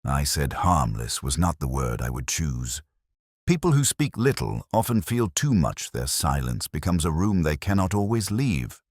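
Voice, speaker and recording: Man playing a deep-voiced brooding detective narrator. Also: very animated, a little mellow, no echo, clean studio sound, exaggerated voice. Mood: brooding, reflective. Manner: measured, solemn, literary phrasing. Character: brooding, contemplative male police detective recounting a murder case. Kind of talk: first-person scripted mystery narration, audio drama.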